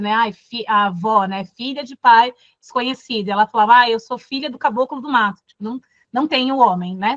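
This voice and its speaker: Soft-toned Brazilian woman teaching. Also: on the high side, no echo, muffled recording. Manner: thoughtful, many fillers and restarts. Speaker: course facilitator. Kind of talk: casual classroom talk.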